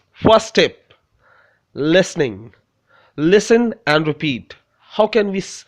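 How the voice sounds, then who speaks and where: Man teaching Urdu phrases slowly. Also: fairly high pitch. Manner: repeats phrases slowly for learners to copy. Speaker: language teacher. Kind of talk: slow drilled language lesson. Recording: dull lo-fi recording.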